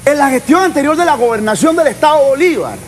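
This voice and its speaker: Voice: high voice, big pitch swings, fast. A Venezuelan reporter on a political show, discussing off the cuff and insistently repeating points for emphasis.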